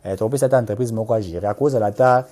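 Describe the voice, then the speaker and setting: A man's voice: lowish voice, racing, warm tone. A man with a Congolese accent speaking as a political activist in an interview, emphatic and impassioned, repeating points and switching between two languages.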